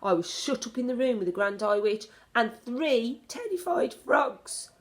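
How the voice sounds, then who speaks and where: A woman reading a children's story aloud in an expressive narrating style. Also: on the high side, unhurried. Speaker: storybook reader.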